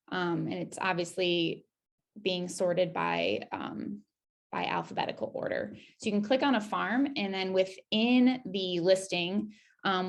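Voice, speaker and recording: A woman with a slightly low, noticeably creaky voice walks listeners through a website demo. Her delivery is casual and conversational, with informal, upbeat asides as she explains each step. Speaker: presenter giving a website demo. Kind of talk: casual screen-share walkthrough.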